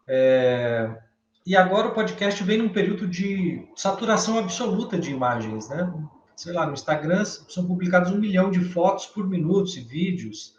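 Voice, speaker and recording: A Brazilian man giving thoughtful, halting commentary in a class discussion, thinking aloud as he goes. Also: fairly high pitch. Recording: echoey room, dull lo-fi sound.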